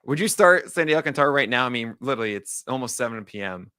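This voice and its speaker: Bright-voiced animated podcast co-host, man. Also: medium pitch, fast, clean tone, no echo, studio quality. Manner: animated, joking, lots of "like" fillers. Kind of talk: casual baseball analysis chat.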